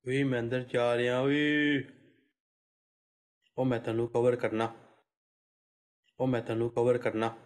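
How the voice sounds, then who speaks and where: Man playing an excitable Punjabi soldier, slow-paced. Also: medium pitch, lightly acted. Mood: gruff, low-key. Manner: exclamatory, starts calls with "oye". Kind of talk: comic game voice-pack callouts, short shouted commands.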